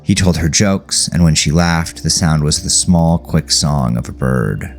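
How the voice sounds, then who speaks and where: A man narrating dramatic horror fiction as a scripted audiobook, with a gravelly, creaky voice. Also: extremely low pitch, expressive, measured pace, soft background music.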